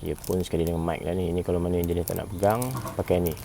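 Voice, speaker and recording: A man's voice: low-pitched, little pitch movement, racing, background noise. A Malaysian man giving a casual, informal product review, often repeating himself and tagging phrases with "eh". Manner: informal, repeats himself, frequent "eh" tags and throat clearing. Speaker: gadget reviewer.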